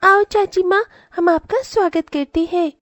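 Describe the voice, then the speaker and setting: A woman's voice: extremely high pitch, unhurried, a bit bright, no room sound. A woman voicing a small, clinging child in a moral story, plaintive and childlike. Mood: affectionate. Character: frightened, pleading small child, clinging and affectionate. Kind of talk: dramatised moral story voice acting.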